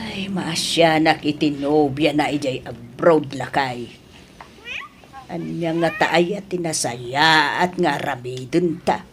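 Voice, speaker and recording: A woman's voice: deep voice, takes it slowly, a little hiss or hum. A woman with a Filipino accent playing an anxious older mother and wife in an audio drama. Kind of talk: Ilocano radio drama acting.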